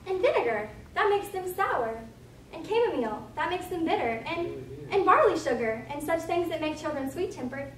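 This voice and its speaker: Stage role: curious, well-mannered young girl acted by a woman, high and musing, distant mic with background noise. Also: big pitch swings, normal speed. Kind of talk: readers theater performance. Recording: echoey room, distant mic, noisy.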